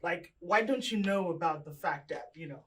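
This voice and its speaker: A woman from Nigeria talking as a guest on a panel show, animated and emphatic. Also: fairly low pitch, normal speed. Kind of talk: casual panel talk.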